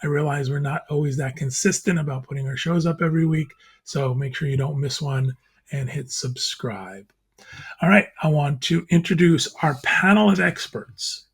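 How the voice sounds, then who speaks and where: A man hosting a casual video panel chat, introducing guests and asking questions in a friendly, steady way. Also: on the high side, normal speed, slightly rough. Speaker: podcast host.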